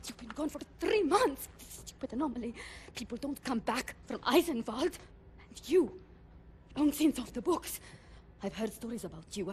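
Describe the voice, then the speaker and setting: An actress playing an eccentric, suspicious analyst in a video game cutscene, brusque and clinical. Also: female voice, fairly high pitch, slight background noise, lightly acted.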